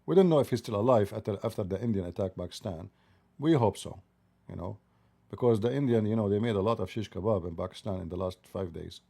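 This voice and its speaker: A man's voice: lowish voice, lively intonation, fast, creaky, warm tone. A middle-aged man, hosting a debate livestream, mocking and needling his guest with repeated pointed questions. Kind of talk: mocking, provocative debate talk.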